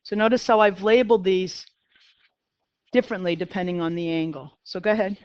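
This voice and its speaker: A middle-aged math teacher with a Boston accent talks her class through a lesson, chatty and quick to poke fun at herself. Her voice is smooth and clear, and the sound is dry but a little dull and lo-fi. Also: medium pitch, fairly even intonation, a little mellow, no echo. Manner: chatty, self-deprecating, talks to students. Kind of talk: classroom lecture.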